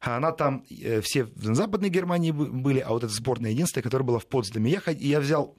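A man's voice: mid-range voice, animated, fast, darkish tone, no echo, studio quality. A male journalist being interviewed, telling long, digressive stories and often stopping to correct himself. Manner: rambling, digressive storytelling with frequent self-corrections. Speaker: journalist guest. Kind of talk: conversational interview recollection.

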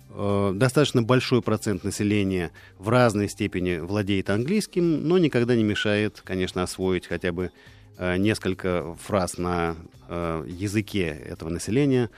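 Low-voiced man explaining on radio. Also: darkish tone, no room sound. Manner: lecturing, with frequent hesitation fillers. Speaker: expert guest on languages of India.

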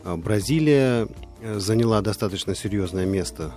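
A man co-hosting a radio talk show, asking measured, halting questions. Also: on the low side, a little mellow, soft background music. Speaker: radio co-host.

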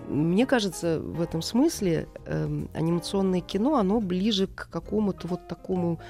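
A middle-aged woman giving thoughtful, halting interview answers as a guest, with emphatic phrases. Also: on the low side, warm tone, soft background music. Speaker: guest, animation film director.

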